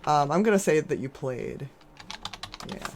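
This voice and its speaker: A woman streaming and chatting casually with viewers, with a hoarse, scratchy voice from a sore throat and a rambling, chatty way of talking. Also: animated, measured pace, a little mellow. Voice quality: lower and scratchier than usual. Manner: rambling, chatty, with frequent restarts and laughs. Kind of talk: casual chat while gaming.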